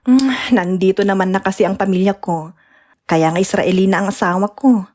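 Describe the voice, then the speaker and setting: A woman playing a kind older-sister friend who comforts and gently teases in an audio drama. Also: mid-range voice, normal speed, lightly acted. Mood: teasing. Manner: comforting, teasing. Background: music.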